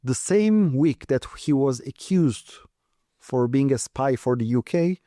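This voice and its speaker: A man's voice: mid-range voice, animated, a little slow, warm tone, no room sound, studio quality. A man from Turkey with a Turkish accent, an expert guest giving long analytical answers in a podcast interview, with many fillers. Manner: talks at length with many uh and you know fillers.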